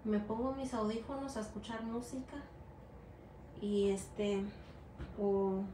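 A woman's voice: mid-range voice, takes it slowly, mellow, slight background noise. A middle-aged Mexican-American woman from the United States, teaching a gelatin art class in a rambling, chatty way with many fillers and English words mixed into her Spanish. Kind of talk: casual hands-on class demonstration. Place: indoor work table. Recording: echoey room with faint ambience.

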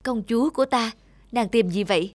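A woman's voice: fairly high pitch, fairly quick, dry recording, lightly acted. A woman voicing an earnest, lovestruck young prince in a fairy-tale cartoon, with formal phrasing. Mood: tender.

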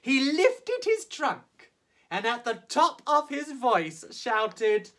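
A man performing as a drag storyteller, reading a children's picture book theatrically with exaggerated emphasis and drawn-out words. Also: very high voice, huge pitch swings, fairly bright tone. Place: small indoor set, on camera.

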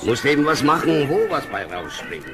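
Man playing a shady north barkeep in a radio play, really animated, coaxing and jovial, music behind him. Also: mid-range voice, normal speed, natural voice. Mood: conspiratorial, coaxing. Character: sly, genial male tavern keeper plotting shady deals. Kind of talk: German children's audio drama acting.

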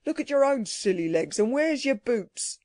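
A woman voicing a rough, indignant working-class man in a storybook, mocking. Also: on the high side, very animated, normal speed, a little mellow, dry recording, studio quality, pitched above the performer's own voice.

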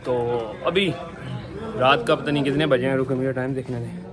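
A young man narrating a casual night-out vlog, chatty and excited, recorded on a handheld phone. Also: medium pitch, a little fast, music in the background. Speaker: vlogger. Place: shopping mall and streets at night. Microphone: handheld phone camera. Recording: phone audio with loud background noise.

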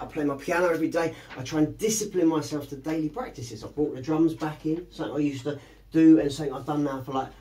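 A man's voice: high voice, darkish tone. A man in his thirties giving a casual songwriting lesson, chatty, rambling and encouraging. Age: 30s. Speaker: music teacher. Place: small home music studio, indoors. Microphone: camera across the room. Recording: distant room camera mic.

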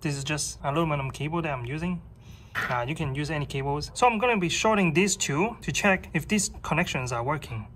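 A man's voice: a little high, fairly quick, a bit bright, a little hiss or hum. A man in his thirties presenting a casual, hands-on tech tutorial and explaining it step by step.

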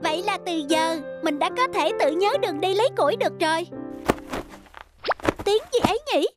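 A woman voicing a kind, earnest young peasant boy in a tender, childlike manner for an animated folk tale. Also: extremely high pitch, normal speed, over music. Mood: tender, caring.

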